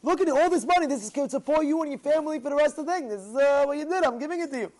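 Man playing pushy rich aristocrat, high and excited. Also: moderate pace, rough recording. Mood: pushy, coaxing. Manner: pushy, persuasive, repetitive. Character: wealthy aristocratic man, insistent, coaxing deal-maker.

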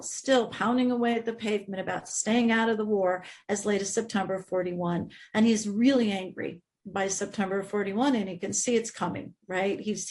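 American female, author in interview, mid-pitched voice, emphatic and informal storyteller. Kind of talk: conversational historical explanation.

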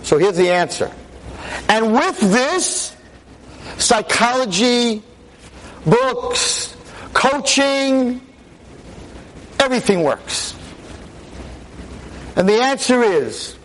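A veteran teacher in his sixties lecturing an audience on a microphone, with a loud voice, emphatic and full of rhetorical questions. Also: very high voice, sing-song, very slow, pauses often, slight background noise, rough recording. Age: 60s. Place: talk in front of an audience. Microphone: handheld or stand mic.

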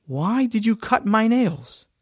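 Man playing a weary dad: calm, patient, sighs often, no room sound. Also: high voice, slow, no room sound, clean studio sound, voice pushed up, exaggerated voice. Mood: exasperated. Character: patient, weary adult father.

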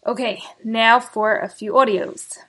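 A woman's voice: a little high, fairly even intonation, measured pace, pushed and bright, poor audio. A woman hosting a show, introducing guests and reading listener messages with emphasis. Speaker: podcast host.

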